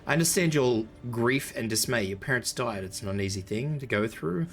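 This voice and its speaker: A hoarse man reading the lines of a stern, commanding male ship captain from a video game. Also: medium pitch, lively intonation, fairly quick, lightly acted. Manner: stern, lecturing, authoritative.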